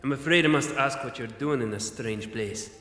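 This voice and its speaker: A man's voice: mid-range voice, normal speed, pushed and bright, faint music behind, natural voice. Man playing a stern general in echoing reverb. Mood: stern, guarded. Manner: terse, formal, commanding. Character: stern, guarded veteran general and war hero. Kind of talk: audio drama acting. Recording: echoey, long cavern-like reverb.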